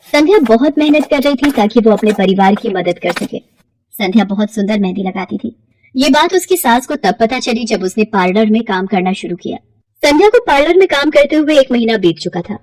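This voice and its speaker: A woman's voice: medium pitch, darkish tone, no echo, rough recording. A woman narrating a scripted cartoon moral story as a storyteller.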